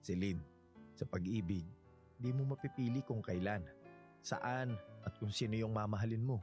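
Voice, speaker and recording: A man's voice: lowish voice, normal speed, a little mellow, faint music behind, lightly acted. A man with a Filipino accent playing a devoted suitor in love in a romantic radio drama, earnest and emotive. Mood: earnest, tender.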